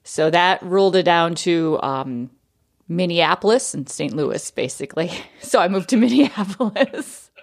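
A woman's voice: on the low side, lively intonation, a little slow. A woman in her thirties from New England, a podcast guest telling her life story in casual, chatty talk, laughing easily.

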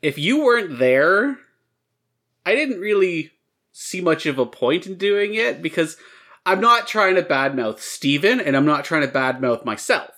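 A man's voice: a little high, lively intonation, measured pace, bright, forceful tone. A man of thirty co-hosting a casual podcast, talking in a candid, conversational way. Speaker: podcast co-host.

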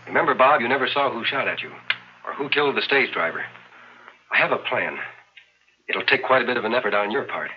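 An actor playing a steady, resolute western hero, with firm, dramatic, vintage broadcast delivery. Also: male voice, mid-range voice, brisk, long pauses, a little hiss or hum, lightly acted. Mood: purposeful, calm. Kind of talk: vintage western radio or television acting. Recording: dull lo-fi vintage broadcast clip.

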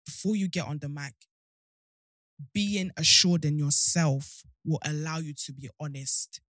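A woman's voice: low-pitched, a little slow, a bit bright, no room sound, studio quality. A young woman, talking openly and outspokenly as a guest on a podcast, often jumping from one point to the next. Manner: candid, outspoken, jumps between points. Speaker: guest, show host. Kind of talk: casual podcast chat.

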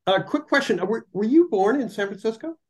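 A woman radio host interviewing a musician, encouraging and a bit halting, with restarts. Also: on the high side, expressive, darkish tone. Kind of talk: casual interview.

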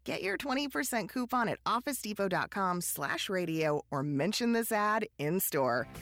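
A woman's voice: medium pitch, expressive, moderate pace, fairly bright tone. A woman reading a retail ad in an upbeat, promotional voice. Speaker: ad announcer. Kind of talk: scripted retail ad.

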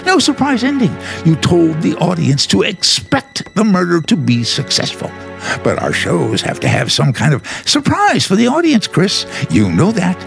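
A man's voice: high voice, wildly swooping pitch, moderate pace, vocal fry, faint music behind, full character voice. A man acting in a radio drama as a defiant, scornful editor, speaking in a commanding, dramatic way. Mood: dismissive, challenging.

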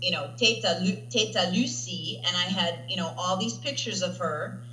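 A chatty woman teacher with a bright, clear voice that keeps asking "right?" as she talks. She sits in an echoey room with a faint hum underneath. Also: on the low side, moderate pace. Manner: chatty, tags statements with "right?". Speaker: language teacher. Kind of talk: interview conversation.

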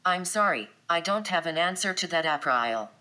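A female robotic voice assistant from a comic story. The voice is bright and a little low, with stilted, formulaic phrasing and no feeling at all. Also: normal speed, poor audio. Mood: emotionless, polite. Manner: flat, stilted, formulaic automated delivery.

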